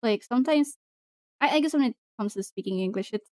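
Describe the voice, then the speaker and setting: A woman's voice: on the high side, expressive, dry recording. Woman, from the Philippines, casual stream chat, careful speech, dry close sound. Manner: laughs often, slow careful English. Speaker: streamer guest.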